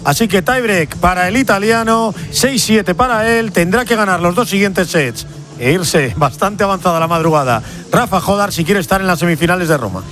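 High-voiced tennis reporter, brisk recap. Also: male voice, animated, fairly bright tone, soft background music. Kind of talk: quick sports update.

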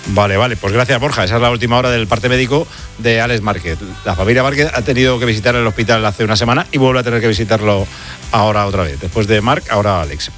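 Relaxed male radio host, faint music. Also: on the low side, fast talker. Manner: relaxed, joking, with many fillers. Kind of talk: casual radio chat.

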